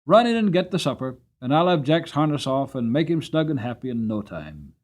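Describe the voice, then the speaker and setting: A man performs an upright male cab driver from a storybook. He speaks with firm, kindly conviction and drives each moral point home. His pitch rises and swings with the emphasis. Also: very animated, fairly quick, close to an everyday voice. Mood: merry, affectionate. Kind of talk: dramatic storybook character reading.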